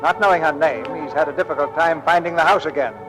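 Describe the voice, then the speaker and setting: American old-time radio narrator male actor: dramatic, higher-set voice, soft music underneath. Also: fast, close to an everyday voice, low-quality recording. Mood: solemn, dramatic.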